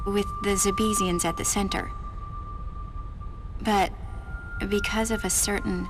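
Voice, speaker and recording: An actress voices an earnest female scientist survivor in a video game. Her voice sits at a middling pitch and moves in careful, halting, serious phrases, guarded in temper. Music is clearly audible behind her. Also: close to an everyday voice. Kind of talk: video game cutscene dialogue, expository.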